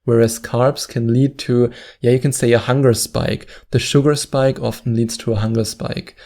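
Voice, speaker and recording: A man's voice: mid-range voice, fairly even intonation, moderate pace, a little mellow. A man with a German accent, hosting a weight-loss advice video and repeating his key points with earnest emphasis. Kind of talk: direct-to-camera advice talk.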